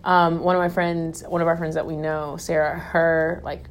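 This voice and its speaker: A woman's voice: low-pitched, little pitch movement, moderate pace, slight background noise. A woman hosting a podcast, chatting casually with a friend and laughing often. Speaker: podcast host. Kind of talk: casual chat. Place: Dallas area.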